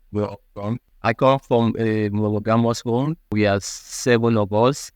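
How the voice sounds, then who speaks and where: A man in his thirties from Cameroon, speaking with a Cameroonian accent and answering interview questions in a halting, earnest way. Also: lowish voice, clear, resonant tone, dry recording. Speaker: guest.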